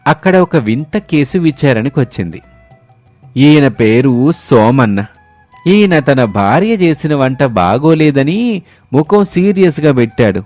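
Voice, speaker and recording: A man's voice: medium pitch, sing-song, slow, a little mellow. A man narrating a scripted moral story as its storyteller. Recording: dry studio, music behind.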